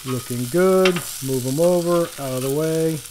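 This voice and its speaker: A man's voice: a little high, unhurried, background noise. Rambling man narrating an outdoor cookout. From Florida. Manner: relaxed, rambling, self-correcting, chuckles at mistakes. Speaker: cooking channel host. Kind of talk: casual cooking demonstration. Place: outdoors by a lake at a griddle. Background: sizzling food on a griddle.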